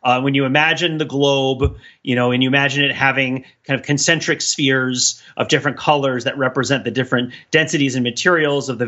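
A man's voice: mid-range voice, pushed and bright, lo-fi sound. A man co-hosting a podcast in casual comic banter, rambling off into mock-scholarly tangents. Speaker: podcast co-host. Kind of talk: casual comedic banter and riffing.

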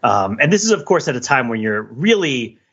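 American guy on a podcast, kinda raspy but bright, rambly and analytical, casual chat. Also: medium pitch, sing-song, brisk, lo-fi sound. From New Jersey, United States. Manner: discursive, analytical. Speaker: podcast co-host. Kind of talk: casual discussion with personal anecdotes.

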